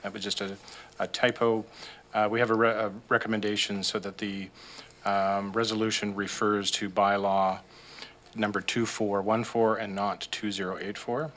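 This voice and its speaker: Staff officer, male, bright and forceful tone, lowish pitch, plain agenda briefing. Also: little pitch movement, moderate pace, a little hiss or hum. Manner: plain, some fillers and restarts. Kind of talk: procedural briefing. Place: council boardroom. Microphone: desk microphone.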